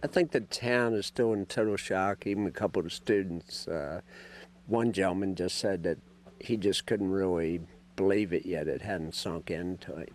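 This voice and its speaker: A man's voice: low-pitched, moderate pace, darkish tone. A man giving unscripted remarks in an interview. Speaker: interviewed local resident.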